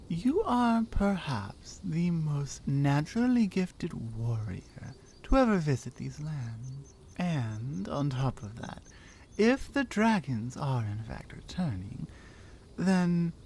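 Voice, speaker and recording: A woman's voice: sits low, dark tone, slight background noise, full character voice. A woman acting a bold, teasing Nordic warrior in an intimate ASMR roleplay, with a Nordic accent and a playful, confident manner. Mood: intrigued, teasing.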